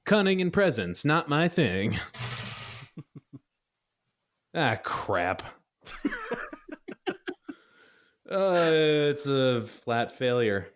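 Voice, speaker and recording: A man's voice: fairly high pitch, huge pitch swings, very slow, pauses often. A man chatting and improvising casually as a player in a tabletop roleplaying game.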